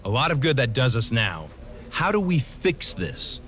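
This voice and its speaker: A man's voice: medium pitch, very animated, a little slow, fairly bright tone. A man playing an exasperated, sardonic pro wrestler hero in a video game cutscene, with dry, sceptical delivery.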